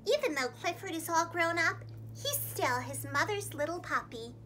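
Very high, bright young woman storyteller. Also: normal speed, slight background noise. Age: young adult. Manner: expressive, dramatic storyteller delivery. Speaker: preschool teacher and storytime host. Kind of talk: animated read-aloud for young children. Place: small indoor room, seated against a plain wall.

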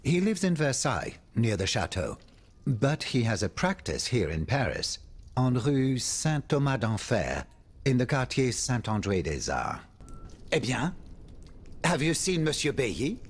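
Game role: worldly French nobleman. Husky, highly expressive, theatrical and courtly, with worried, confiding asides. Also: unhurried. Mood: grave, helpful. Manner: theatrical, courtly, swinging between exclamation and confiding murmur. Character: urbane male French aristocrat, worried, wry, world-weary. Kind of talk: dramatic video game dialogue.

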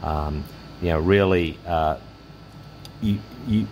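Low-pitched man narrating, noisy workbench recording. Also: darkish tone. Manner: halting, thinking aloud while working. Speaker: electronics hobbyist presenter. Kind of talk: casual hands-on demonstration narration. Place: indoor electronics workbench.

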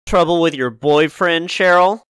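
A man's voice: fairly high pitch, unhurried, fairly bright tone, smooth, no echo, studio quality. A man voicing a teasing, friendly flower shop coworker in a visual novel game.

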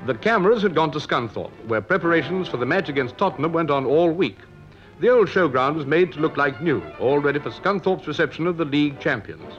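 A man's voice: a little high, animated, brisk. Man narrating a 1950s newsreel, muffled vintage sound. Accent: British. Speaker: newsreel reporter. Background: music.

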